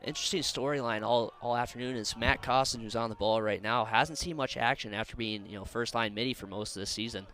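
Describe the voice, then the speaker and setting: A man's voice: medium pitch, fast talker, few pauses, sharp tone. A man giving live sports analysis as a color commentator.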